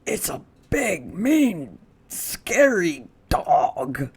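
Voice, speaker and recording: Woman doing a whispering male burglar, jittery, sneaky, hushed storybook voice, gravelly breathy edge. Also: fairly low pitch, sing-song, very slow, voice pushed up, exaggerated voice. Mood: scared. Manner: hushed, frightened exclamations.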